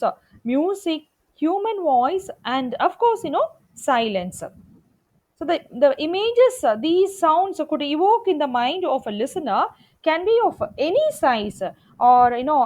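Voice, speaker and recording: Female lecturer, high register, very animated intonation. Explanatory classroom delivery, emphatic, repeats key points. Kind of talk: explanatory lecture on media production.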